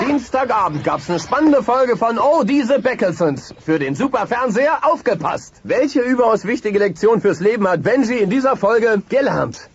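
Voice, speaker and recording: An actor playing a slick, gleefully mean TV game show host, bombastic and showy, drawing out the suspense in a cartoonish audio play. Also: male voice, sits high, sing-song, normal speed, hardly any pauses, slight background noise. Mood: smug, mocking. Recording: dull lo-fi tape with music behind.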